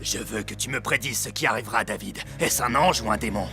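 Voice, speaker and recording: An actor playing a jealous, wrathful, imperious king in a French animated Bible drama, with a rough, gravelly voice. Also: lowish voice, brisk, lightly acted. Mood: brooding, anxious. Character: jealous, wrathful, brooding middle-aged king. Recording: music under the voice. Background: music.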